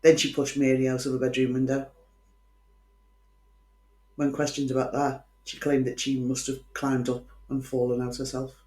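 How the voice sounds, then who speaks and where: A woman with a Scouse accent and a low-set voice tells true-crime stories as host. She is chatty and opinionated, dropping in dry asides as she goes. The sound is roomy, with noticeable echo. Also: level tone, moderate pace, long pauses, darkish tone. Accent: Liverpool Scouse. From Liverpool, England.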